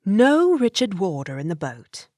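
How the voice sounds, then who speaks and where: A married Victorian lady of brisk good sense and quick exasperation. She scolds theatrically with big swoops of pitch, as in a period audiobook drama, recorded dry and close. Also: sing-song, a little mellow, dry recording. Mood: admonishing.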